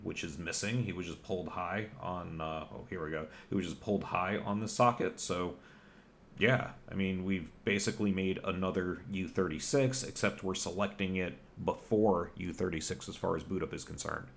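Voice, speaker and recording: A man with a deep, gravelly voice talks through a computer hardware repair in a loose, rambling way. He sounds informal and a little self-mocking. A faint hiss sits under his voice from the home bench. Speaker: electronics hobbyist host. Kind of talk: casual technical walkthrough. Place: home workbench with computer gear.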